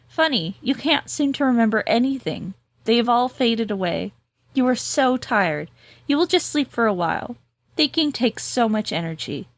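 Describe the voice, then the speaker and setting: A woman's voice: a little high, dry recording. A woman co-hosting a podcast who reads a gamebook aloud and chats casually, laughing often and swearing freely. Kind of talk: casual chat and reading aloud.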